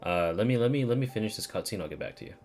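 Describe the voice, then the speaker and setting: Chick streaming a game, real low voice, just chatting along, soft music behind her. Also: expressive, racing. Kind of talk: casual livestream playthrough chat and reading dialogue.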